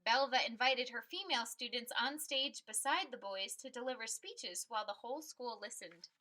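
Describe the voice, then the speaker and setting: A woman reads a picture book aloud in a bright, high voice. Her storytelling is expressive and emphatic, with each phrase clearly set out for listeners. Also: normal speed.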